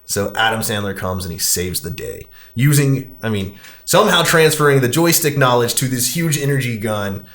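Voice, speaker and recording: A man's voice: medium pitch, animated, moderate pace, a bit bright. Man, podcast host, mid-range voice, casual review talk, rambling informal delivery. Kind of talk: casual movie review chat.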